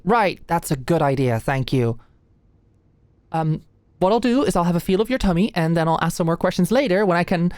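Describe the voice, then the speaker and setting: Woman acting an anxious, flustered young student, lively pitch. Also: dry recording, natural voice. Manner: halting, stammering, flustered. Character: anxious, stressed young female medical student, flustered and oblivious. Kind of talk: ASMR audio roleplay.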